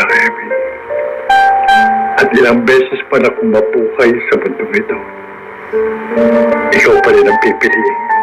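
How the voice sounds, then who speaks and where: A man's voice: medium pitch, close to an everyday voice, low-quality recording. An actor with a Filipino accent playing a grateful, tender husband and father freed from wrongful jail, in emotional radio drama style. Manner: emotional, earnest.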